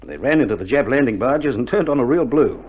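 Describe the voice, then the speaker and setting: A man's voice: medium pitch, lively intonation, a little fast, close to an everyday voice. An actor playing a wry, reassuring Australian army male nurse in a wartime radio drama, with laconic, joking banter, heard on an old vinyl record. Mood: brisk, reassuring. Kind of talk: 1960s radio drama acting. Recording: old vinyl recording, dull band, faint noise.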